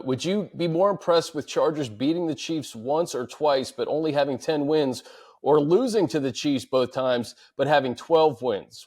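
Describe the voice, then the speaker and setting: A male sports host with an average-pitched voice. He talks through the topic conversationally, thinking out loud as he hosts the discussion.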